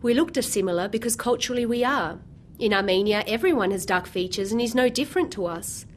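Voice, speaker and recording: A woman's voice: on the high side, quick pace, a little hiss or hum, close to an everyday voice. A woman reading as a tender storybook mother. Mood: soothing. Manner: gentle, soothing storybook reading. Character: tender mother comforting her young daughter.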